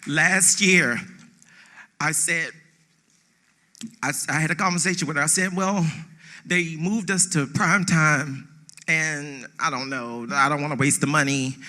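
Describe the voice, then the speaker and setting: A man's voice: a little high, normal speed, sharp tone. A man giving an emotional, off-the-cuff acceptance speech on stage, speaking emphatically and repeating phrases for effect. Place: on stage at a theatre awards ceremony.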